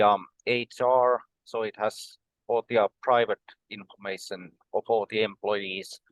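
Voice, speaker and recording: Give me a middle-pitched Finnish man with a measured, explaining manner and lots of filler pauses, recorded dry. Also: no room sound, poor audio. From Finland. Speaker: guest. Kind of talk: conversational podcast interview.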